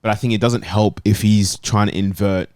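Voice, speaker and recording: A man's voice: on the low side, fairly even intonation, normal speed, darkish tone. A man on a casual sports panel, emphatic and repeating his points as he debates. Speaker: football talk show panellist.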